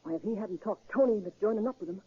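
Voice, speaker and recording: A woman's voice: mid-range voice, racing, breathy edge, lightly acted. An actress playing a bitter, frightened, tough-talking gangster's woman in a 1930s radio crime drama. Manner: tough, slangy, hesitant. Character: bitter, fearful gangster's woman bent on revenge. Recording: muffled, lo-fi 1930s radio recording.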